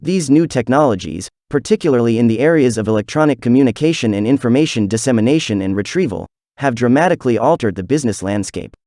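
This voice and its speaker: A man's voice: medium pitch, lively intonation, quick pace, warm tone, dry recording, clean studio sound. A man reading a scripted informational passage aloud as a narrator. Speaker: narrator of exam practice passages.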